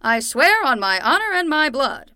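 A woman's voice: high voice, sing-song, moderate pace, pushed and bright, no echo, clean studio sound, heavily acted. A woman playing a young summer nanny, patient and wry, talking casually and frankly in a naturalistic fiction podcast. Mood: solemn.

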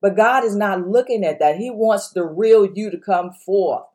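A woman preaching with a strong, full voice at an ordinary pitch. She exhorts her listeners and drives each point home by repeating it. Her pace runs slow, then quick. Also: darkish tone. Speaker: pastor. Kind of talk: sermon podcast.

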